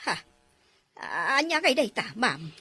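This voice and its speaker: A woman's voice: sits high, sing-song, a little slow, pushed and bright, breathy edge, dry recording, heavily acted. A woman voicing a timid, loyal middle-aged housemaid who answers her employers with deference in a radio drama. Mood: alarmed. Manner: deferential and flustered, keeps saying sir and ma'am.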